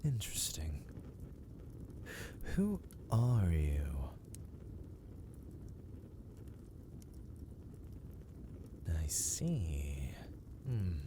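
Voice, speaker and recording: An ancient, predatory vampire lord for an ASMR fantasy roleplay. His voice sits low and moves very slowly, with formal, theatrical phrasing that often sinks into whispers. He is imperious yet coldly courteous. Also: very animated, noisy background, exaggerated voice. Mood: curious, probing. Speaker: voice actor in audio roleplay.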